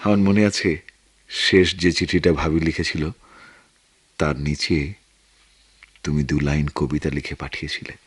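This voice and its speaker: An earnest war veteran turned writer in a Bangladeshi radio play. His voice is extremely low and husky, with a measured, reflective Bangladeshi-accented delivery in a very dry recording. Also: level tone, no room sound, full character voice. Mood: tender, nostalgic. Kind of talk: Bengali radio drama acting.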